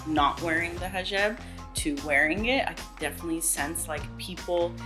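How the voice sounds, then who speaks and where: Chatty thirtysomething woman interviewee, slightly low pitch, over a clear music bed. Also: moderate pace. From Southern California. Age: 30s. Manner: casual, chatty, many fillers, laughs easily. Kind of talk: candid sit-down interview.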